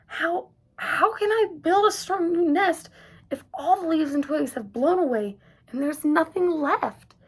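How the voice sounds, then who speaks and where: A woman's voice: extremely high pitch, a little slow, pitched above the performer's own voice. A woman voicing a small, kind young male hedgehog in a storybook, speaking eagerly and earnestly. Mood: anxious.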